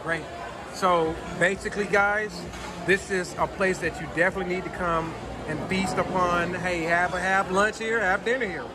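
A man in his thirties vlogging about food, talking into a phone at arm's length with plenty of excited exclamations. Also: high voice, normal speed. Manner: enthusiastic, exclaims wow often. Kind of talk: casual vlog. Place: busy indoor restaurant. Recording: loud restaurant crowd and music behind.

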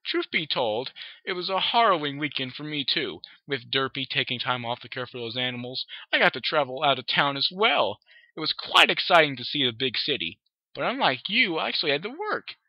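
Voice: mid-range voice, sing-song, fairly quick, no room sound, pitched above the performer's own voice. A reader voicing a condescending mother mare pony who talks down in sugary baby talk, on a dull, dry lo-fi recording. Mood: patronizing.

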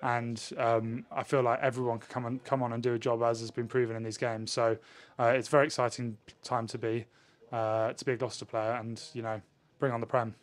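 A man, a rugby player answering questions in a post-match interview in a measured way. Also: medium pitch, flat delivery. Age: 20s. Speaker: professional rugby player, winger. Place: indoors in front of a sponsor backdrop. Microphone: handheld interview microphone held at chest height.

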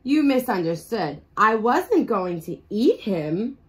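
A woman's voice: mid-range voice, sing-song, takes it slowly. A woman voicing a big male shark from a picture book who keeps protesting his innocence, reading in a bedroom. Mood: defensive. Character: big misunderstood male shark, sweetly defensive.

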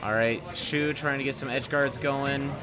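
A man doing steady play-by-play commentary on a fighting game tournament match. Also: medium pitch, a little slow, a crowd in the background. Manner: steady play-by-play, dry jokes. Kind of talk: esports commentary. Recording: game music underneath.

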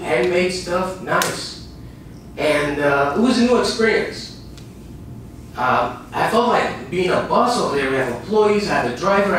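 A man in his thirties from Iraq gives an earnest seminar talk to a small audience, repeating lines for emphasis and pausing between phrases. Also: high-pitched, fairly bright tone, a little hiss or hum. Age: 30s. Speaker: motivational speaker. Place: dim small room with a projector screen and a small audience. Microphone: far camera, no visible mic. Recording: echoey room recorded from far off.

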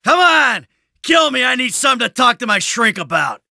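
A man's voice: very high-pitched, big pitch swings, normal speed, gravelly, sharp tone, dry recording, studio quality, full character voice. A man voicing a cynical, brash middle-aged American criminal in a video game, sarcastic and foul-mouthed. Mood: angry, taunting. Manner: sardonic, brash, swearing.

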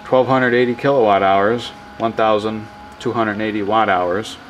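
A man casually explaining his wind turbine setup in a workshop, rambling and correcting himself with excitement. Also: lowish voice, normal speed, low-quality recording. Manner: enthusiastic, rambling, self-correcting. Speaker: hobbyist wind power vlogger. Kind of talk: casual off-the-cuff demo narration.

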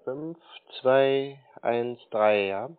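A man's voice: mid-range voice, drawn-out words, clear, resonant tone, phone-quality sound. A man speaking as a naive, confused, literal-minded customer in a phone conversation. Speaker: scambaiter playing a naive customer. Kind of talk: slow, bumbling phone conversation. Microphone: telephone call.

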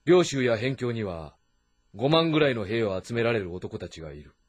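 Middle-aged warlord, husky, authoritative, highly varied intonation, historical game drama. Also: male voice, animated, soft background music. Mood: calm, commanding. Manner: authoritative, deliberate, lordly. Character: commanding, shrewd middle-aged male warlord of ancient China.

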